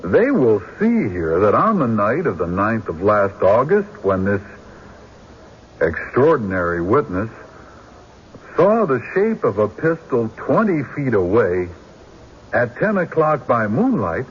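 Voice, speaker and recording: Shrewd country lawyer arguing in court, pitch swinging all over, old dull radio drama sound. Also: male voice, huge pitch swings, a little hiss or hum. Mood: emphatic, incredulous. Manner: theatrical, deliberate courtroom rhetoric.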